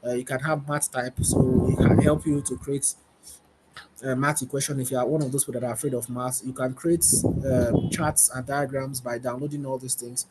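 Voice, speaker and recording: A man, demonstrating software on a webinar panel, loosely repeating himself as he goes. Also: mid-range voice, little pitch movement, moderate pace. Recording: loud background noise.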